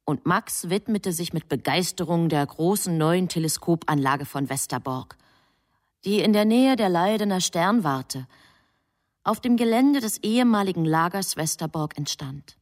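A woman playing a heavenly messenger who narrates human affairs in a radio drama, in a measured, literary manner. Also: on the low side, lively intonation, lightly acted. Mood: measured, reflective. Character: female heavenly messenger calmly recounting human love affairs.

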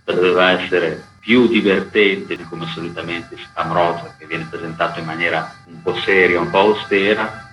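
A man's voice: sits low, steady tone, moderate pace, few pauses, over music. A man explaining things reflectively in an interview, in loosely built sentences. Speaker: theatre dramaturge being interviewed. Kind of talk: interview talk. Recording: roomy echo, faint background noise.

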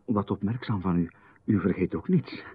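A man with a Flemish accent playing a polite, uneasy host in a radio play, with a husky voice and an overly courteous, hesitant manner. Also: low voice, moderate pace. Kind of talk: 1990s radio drama. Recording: phone-like radio recording.

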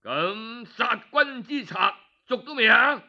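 A man's voice: very high voice, animated, slow, creaky, muffled recording, voice pushed up, exaggerated voice. A man with a Cantonese accent voicing a cruel, domineering duke in a story, sneering as he interrogates. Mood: accusing. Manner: sharp, mocking, interrogating.

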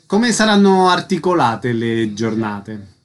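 A man's voice: mid-range voice, very animated, slow pace. A man hosting a radio interview, putting short scripted questions to a guest.

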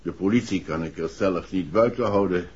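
A grave gentleman in an old radio drama, his voice low and husky. He speaks in a formal, measured and resolute way, courteous throughout. The sound is dull and muffled. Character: grave, resolute bereaved father, wealthy gentleman client. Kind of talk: 1960s Dutch radio play acting.

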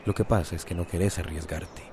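Male actor as insistent wooer, fairly low voice, Costa Rican accent, radio drama over clear ambient noise. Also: fairly even intonation, brisk, mellow, close to an everyday voice. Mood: coaxing, insistent. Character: Mauricio, persuasive, insistent adult male suitor. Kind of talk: radio soap opera acting.

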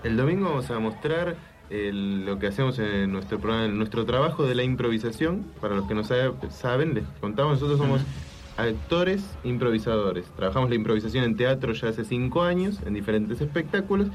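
A man's voice: mid-range voice, lively intonation, a little fast. A man, a guest actor explaining his work in a radio interview.

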